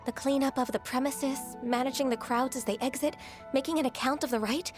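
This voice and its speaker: A woman's voice: high voice, steady tone, brisk, over music, close to an everyday voice. A woman playing a dutiful official in a video game, speaking in a formal, businesslike manner. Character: formal, dutiful female official and ceremony announcer.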